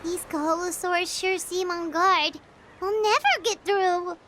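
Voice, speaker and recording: A woman voicing a tiny childlike fairy companion in a video game, with a squeaky, cutesy, whiny delivery. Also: measured pace, noisy background. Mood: discouraged. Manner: cutesy, refers to self by name. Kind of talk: cartoonish video game voice acting.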